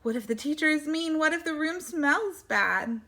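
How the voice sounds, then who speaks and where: A woman's voice: high voice, lively intonation, normal speed, pushed and bright, voice pushed up, full character voice. A woman voicing an anxious little girl mouse from a picture book, with fretful, emphatic questioning. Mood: worried. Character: anxious little girl mouse who frets over everything.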